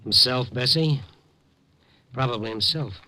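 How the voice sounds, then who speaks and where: A man's voice: mid-range voice, measured pace, sharp tone. A man playing a hard-boiled newspaper reporter who narrates in a wry, streetwise 1950s radio drama style. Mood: easygoing. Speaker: narrator and lead. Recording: old radio broadcast with music.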